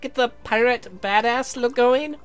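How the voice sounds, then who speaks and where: A man voicing a polite, pedantic young scientist in a visual novel, speaking formally and haltingly as he explains. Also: very high-pitched, fairly bright tone, clear, resonant tone, pitched above the performer's own voice. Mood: earnest advice. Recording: music behind the voice.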